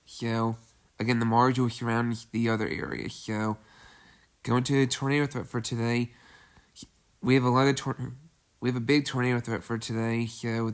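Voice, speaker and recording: A man with a stuffy, congested voice hosting a casual severe weather briefing, halting and repeating himself with many fillers. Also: mid-range voice. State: congested from a cold. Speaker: weather video host. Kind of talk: casual weather briefing over outlook maps.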